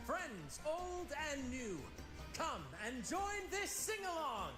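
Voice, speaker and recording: Exuberant game showman, high swooping voice, slow drawn-out theatrical delivery. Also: very animated, exaggerated voice. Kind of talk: video game voice acting. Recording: game music, roomy reverb.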